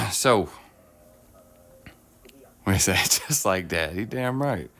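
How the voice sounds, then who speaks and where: Streamer host, middle-aged man, gravelly low voice a bit rough from illness, rambling upbeat chat, phone mic at home. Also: animated, slow pace, pushed and bright. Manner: rambling, upbeat, self-deprecating. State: voice deepened while getting over an illness. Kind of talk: casual livestream chat while painting miniatures. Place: home, at a table. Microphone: phone on a clamp arm.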